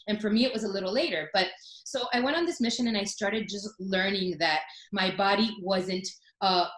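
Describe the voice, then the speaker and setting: A woman's voice: mid-range voice, moderate pace, fairly bright tone, echoey room. A woman in her forties telling her story as a guest in a casual interview, chatty, with many fillers and restarts. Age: 40s.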